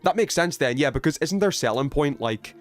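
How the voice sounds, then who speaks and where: A man's voice: fairly high pitch, lively intonation, fairly quick, soft background music. A man hosting a video game livestream, rambling and thinking aloud as he chats casually with viewers. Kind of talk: casual gaming stream chat, reading viewer messages.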